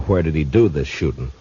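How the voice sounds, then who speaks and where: An actor playing a calm, dry-witted, authoritative American frontier marshal in an old-time western radio drama. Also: male voice, rumbling low, husky, full character voice. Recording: muffled old radio recording.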